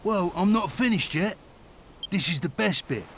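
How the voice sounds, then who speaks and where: A man playing a rough but loyal reformed bandit sidekick in a video game, with a Cockney accent. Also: high voice, expressive, moderate pace, a bit bright, slight background noise. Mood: eager. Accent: British, Cockney. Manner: rough, colloquial, boisterous. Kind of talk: video game character acting.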